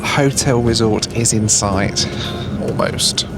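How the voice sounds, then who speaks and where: A man filming himself on a long-distance bus, hoarse and run down, talking in a tired, low-key, chatty way. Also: takes it slowly. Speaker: travel vlogger. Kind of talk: travel vlog with voice-over narration. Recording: loud music under the voice.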